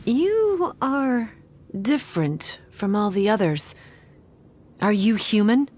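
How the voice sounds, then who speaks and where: A woman playing a solemn young shrine maiden in a video game, guarded and scholarly, explaining in measured, formal phrases. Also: mid-range voice, sing-song, darkish tone, slight background noise. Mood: suspicious. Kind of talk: video game character acting.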